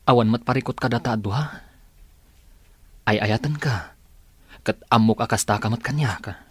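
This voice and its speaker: An actor playing a persuasive, insistent young village suitor in an Ilocano radio drama, with an Ilocano accent. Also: male voice, medium pitch, normal speed, breathy edge, lightly acted. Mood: pleading, reassuring.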